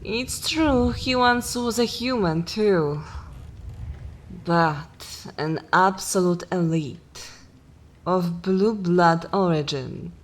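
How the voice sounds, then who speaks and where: A woman voicing a haughty, cruel, centuries-old vampire mistress in a dark fantasy ASMR roleplay, speaking in a formal, commanding and disdainful manner. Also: lowish voice. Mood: contemptuous, commanding. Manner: imperious, slow, disdainful, formal wording.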